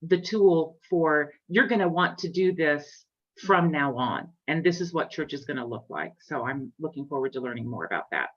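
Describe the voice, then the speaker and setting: A woman on a video call, asking about the software with eager but hesitant starts. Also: low voice, lively intonation, normal speed, lo-fi sound. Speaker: church participant in a video call.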